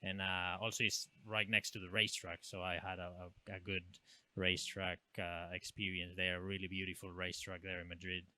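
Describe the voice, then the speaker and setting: A man with a Spanish accent answers an interviewer's questions at an equine hospital. His voice is low, forceful and resonant, and the recording is close and dry. He talks haltingly, stopping on fillers and starting phrases over. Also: flat delivery, no room sound. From Spain. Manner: halting, many fillers and restarts. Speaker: veterinarian guest.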